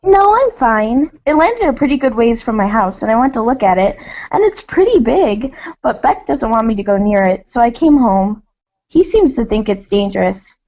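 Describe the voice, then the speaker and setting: A woman's voice: a little high, very animated, moderate pace, a little mellow, lo-fi sound. A woman acting a sweet, upbeat, sincere teenage girl in a storybook-style reader's theater. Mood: chipper.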